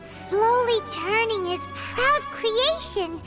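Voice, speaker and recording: A woman voicing a tiny, cheeky, childlike female companion sprite in a video game, with a squeaky, expressive voice. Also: slow pace, heavily acted. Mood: somber. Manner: exclamatory, expressive. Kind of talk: video game character acting. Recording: loud music behind the voice.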